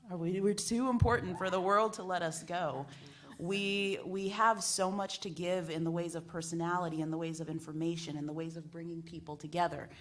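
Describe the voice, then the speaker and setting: Low-pitched American woman, earnest panel talk. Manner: emphatic, earnest, repeats phrases for effect. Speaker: community radio broadcaster, panelist. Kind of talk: panel discussion talk, animated storytelling.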